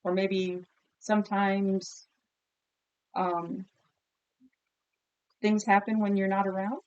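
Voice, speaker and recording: A woman with a mid-pitched voice teaches a read-aloud lesson. She speaks as an encouraging teacher, posing questions to children and pronouncing words distinctly so they can repeat them. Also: level tone, takes it slowly, darkish tone, clean tone, lo-fi sound.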